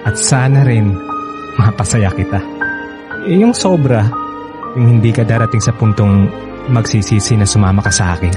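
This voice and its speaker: A man playing a caring, slightly unsure young partner in a radio drama, speaking casually and gently with a Filipino accent. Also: fairly low pitch, moderate pace, pauses often, loud music behind the voice, lightly acted. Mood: worried, tender.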